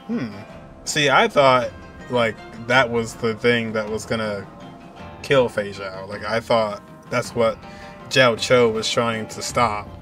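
A man's voice: mid-range voice, slow pace, fairly bright tone. A man in his twenties giving casual live commentary as he reacts to game cutscenes. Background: game soundtrack music.

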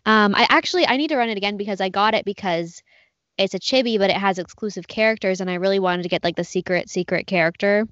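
A woman's voice: medium pitch, fast, a bit bright, no room sound, lo-fi sound. A young woman co-hosting a podcast, chatting casually with a bubbly, enthusiastic, slangy manner. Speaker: podcast co-host. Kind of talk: casual chat.

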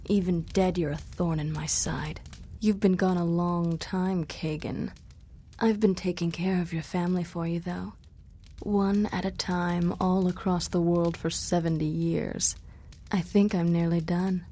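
An actress playing a cocky half-vampire huntress in a video game, with a sultry, sarcastic, flippant manner. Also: female voice, on the low side, little pitch movement, unhurried, warm tone. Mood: bitter, cold. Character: sardonic, cocky half-vampire woman hunter. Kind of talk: video game cutscene acting. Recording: game audio with music behind.

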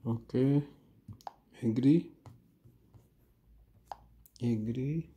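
Slow, deep-voiced man guiding phone steps. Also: mellow, breathy edge. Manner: slow, plain and instructive, with frequent "uh" pauses. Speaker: phone repair tutorial host. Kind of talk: step-by-step instructional voice-over.

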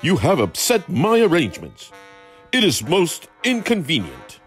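A man's voice: a little high, huge pitch swings, measured pace, soft background music, pitched above the performer's own voice. Man voicing a pompous stern railway boss. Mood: indignant. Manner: indignant, formal scolding.

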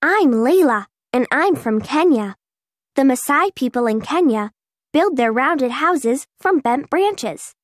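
A woman voicing an eager little girl explaining how village houses are built, reading slowly and carefully for a textbook recording. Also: high-pitched, expressive, dry recording, studio quality. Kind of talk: scripted textbook narration.

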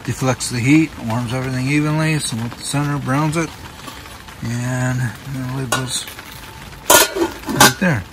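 An older man casually narrating his camping trip, relaxed and rambling with many ums and uhs, recorded outdoors at a campsite. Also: mid-range voice, unhurried, pauses often, very noisy. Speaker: camping vlogger.

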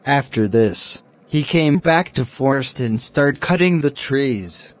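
Slow-talking, kind young cartoon man acted by a man. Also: mid-range voice, animated. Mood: earnest storytelling. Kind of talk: slow, simple scripted storytelling for children.

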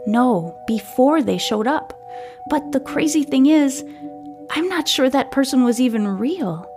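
A woman reading as a quiet, troubled boy. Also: high-pitched, lively intonation, measured pace, over music, pitched above the performer's own voice. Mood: hesitant confiding. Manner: quiet, hesitant confiding. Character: troubled, earnest boy recalling a strange night.

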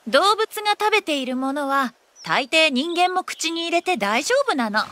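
A woman voicing a kind, practical young mother in a cartoon, reassuring and gently teasing. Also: high-pitched, animated, normal speed. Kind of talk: Japanese animated cartoon acting.

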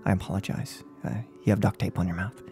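A young man in his twenties playing a gloating, unhinged serial killer in an ASMR role-play, speaking close to the mic in a halting, mock-polite, menacing way. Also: sits low, flat delivery, mellow, faint music behind, close to an everyday voice. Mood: mock-polite menace. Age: 20s. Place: small furnished room at home. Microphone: close microphone on a boom arm.

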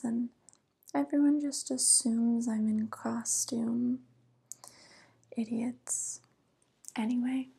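A woman acts out an evil witch who is centuries-cold yet young-sounding, in a very slow, extremely breathy whisper. Her delivery is condescending and mock-pitying, hushed and even, close to the microphone as in an ASMR roleplay. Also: fairly high pitch, heavily acted. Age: young adult. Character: evil 142-year-old witch who looks young, coolly predatory. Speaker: ASMR performer.

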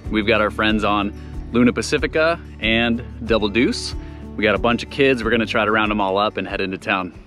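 An upbeat man giving casual, excited narration for a sailing vlog. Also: fairly low pitch, fairly quick, over music. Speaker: vlogger narrating a family sailing trip.

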